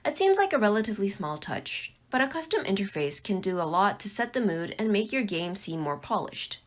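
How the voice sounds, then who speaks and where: A woman's voice: mid-range voice, very animated, fairly quick. A woman presenting a scripted tutorial as a narrator.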